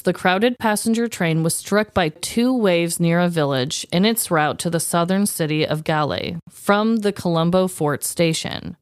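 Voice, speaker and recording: A woman's voice: on the low side, no room sound, clean studio sound. A woman with an American Midwestern accent chatting casually and expressively as a podcast co-host, in an informal, exclaiming way. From rural Midwest United States. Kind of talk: casual comedic podcast chat.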